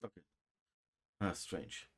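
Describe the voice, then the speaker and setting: Halting man with a German accent. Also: fairly bright tone. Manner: halting, many fillers and restarts. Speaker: livestream host. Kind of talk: casual livestream sign-off.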